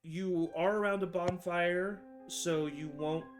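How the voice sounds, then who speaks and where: Give me a slow, hesitant young man narrating as game master over soft music. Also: high voice, fairly even intonation. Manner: halting, many fillers and restarts.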